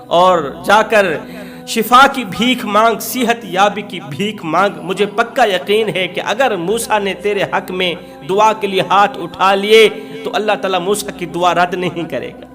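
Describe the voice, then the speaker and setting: An earnest, faithful wife voiced by a male preacher urges her sick husband on. The voice is high and encouraging, repeating itself in a religious story over music. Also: measured pace, bright, forceful tone. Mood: earnest urging. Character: sick man's supportive wife, urging him with firm faith.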